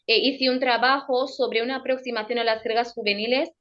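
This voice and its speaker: A young woman with an Equatoguinean Spanish accent speaks in a measured, academic way, pausing to repeat and correct her words. Her voice sits fairly high. The sound is dull and lo-fi, as over a remote call. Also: steady tone. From Equatorial Guinea. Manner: careful, self-correcting, repeats phrases. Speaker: guest, radio presenter.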